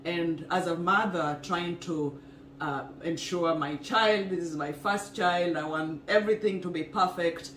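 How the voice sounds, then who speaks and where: A woman's voice: lowish voice, measured pace, a bit bright. Woman in her 30s from Kenya, earnest storyteller on a home video, echoey kitchen. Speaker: co-host, wellness couple. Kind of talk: casual personal story to camera. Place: home kitchen, indoors, small room. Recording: echoey room, faint noise.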